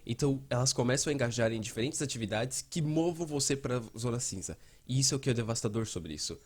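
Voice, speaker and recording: A Brazilian man with a husky, slightly rough voice at a medium pitch. He narrates a dubbed explainer in a conversational style, laying points out like a presenter. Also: expressive, quick pace. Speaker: host and fan dubber.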